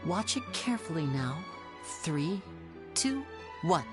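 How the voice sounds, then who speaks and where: A woman playing a suave, theatrical young male stage magician in an anime game, smooth and showmanlike. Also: sits low, big pitch swings, slow, exaggerated voice. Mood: smooth, showy. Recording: music playing behind.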